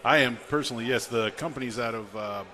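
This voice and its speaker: A man's voice: medium pitch, quick pace, sharp tone. A man from Massachusetts chatting as an interview guest, wry and self-deprecating. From South Boston, Massachusetts. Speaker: guest, timber frame carpenter and salesman. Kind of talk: casual interview chat. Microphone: broadcast microphone. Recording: noisy live event background.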